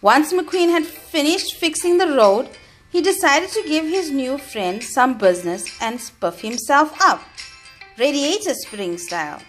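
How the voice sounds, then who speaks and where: A woman reading a children's storybook aloud, with expressive, deliberate and emphatic phrasing. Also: high-pitched. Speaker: storybook reader.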